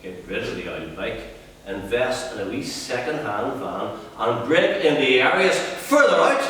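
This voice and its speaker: A man's voice: medium pitch, very animated, moderate pace, bright, forceful tone. A man playing a bitter, bullying father with harsh barks, heard from a distance in a very echoey room. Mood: coaxing. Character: bitter, raging working-class father. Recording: very echoey room, distant mic, faint hum.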